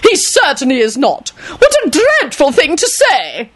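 A woman voicing a shrill old aristocratic lady who screeches and crows with outrage. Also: huge pitch swings, unhurried, fairly bright tone, dry recording, heavily acted. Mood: shocked outrage. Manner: screeching, crowing.

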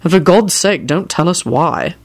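Audiobook gentleman, played by a woman: unflappable, polite, matter-of-fact, lowish voice. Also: lively intonation, normal speed, slightly rough. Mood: dry. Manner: matter-of-fact, dispassionate, dry. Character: affable, dry, unflappable man, polite and wary.

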